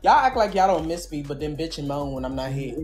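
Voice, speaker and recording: A woman's voice: low-pitched, fast talker, a little mellow, soft background music. Blunt sassy young woman. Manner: blunt, sassy, swears freely. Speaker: panel guest. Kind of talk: casual panel chat.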